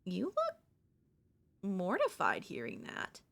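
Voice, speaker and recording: Woman voicing a slow-talking, wistful young ghost girl. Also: mid-range voice, wildly swooping pitch, creaky, a bit bright, exaggerated voice. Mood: nonchalant, frank. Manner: casual, hesitant, matter-of-fact about grim things. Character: cute young murdered ghost girl, wistful, casually frank, affectionate. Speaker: voice actor. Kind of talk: intimate ASMR audio roleplay.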